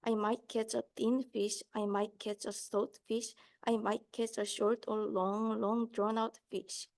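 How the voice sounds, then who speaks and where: Creaky, higher-pitched young woman reading. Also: little pitch movement, unhurried, darkish tone. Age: young adult. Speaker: student reader. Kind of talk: reading a rhyming children's book aloud.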